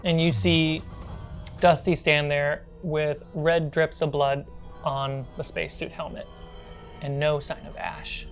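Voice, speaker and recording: A woman with a low voice narrates a tabletop horror game as game master. She speaks slowly and leaves room between phrases, with faint music underneath. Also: level tone.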